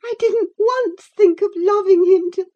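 A woman's voice: very high voice, unhurried, mellow, dull audio, voice pushed up. A woman voicing a distraught, passionate teenage girl in an audiobook, pleading in a breathless, tearful way. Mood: sobbing. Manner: breathless, sobbing, imploring. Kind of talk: audiobook character acting.

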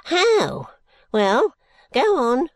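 A reader voicing Smallweed, a shrill, deaf old miser. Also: wildly swooping pitch, drawn-out words, no room sound, exaggerated voice. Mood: querulous. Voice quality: shrill, sharp voice. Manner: snarling, querulous, half-deaf. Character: shrill, deaf, suspicious old male moneylender. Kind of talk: audiobook character reading.

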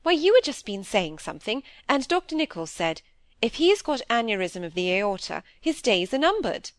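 A woman's voice: a little high, very animated, moderate pace, no room sound, pitched above the performer's own voice. A woman reading an audiobook, voicing a middle-aged wife who is fretful, wheedling and full of plaintive excuses. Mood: eager, justifying. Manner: plaintive, pouting, evasive.

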